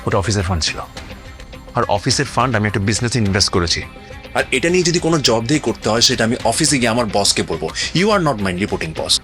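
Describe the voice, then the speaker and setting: An actor playing a defiant, curt office worker in a family drama, speaking in a defensive, confrontational way. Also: male voice, medium pitch, sing-song, fast, fairly bright tone, faint music behind. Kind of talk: television drama acting.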